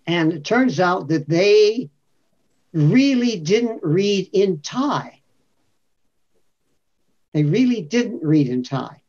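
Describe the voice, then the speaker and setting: A man speaking as a panelist in a webinar, telling a story slowly and deliberately, often repeating his points. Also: high voice, lively intonation, darkish tone. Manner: slow, deliberate storytelling with repetition. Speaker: panelist, reading scholar. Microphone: video call. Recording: muffled lo-fi webinar audio.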